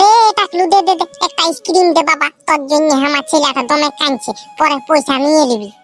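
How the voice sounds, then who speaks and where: A man's voice: very high-pitched, fairly quick, a bit bright. A man voicing an exasperated, blunt village mother in a comedy cartoon, with a Purulia Bengali accent. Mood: demanding. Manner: scolding, blunt, grumbling. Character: exasperated, scolding village mother, quick to threaten.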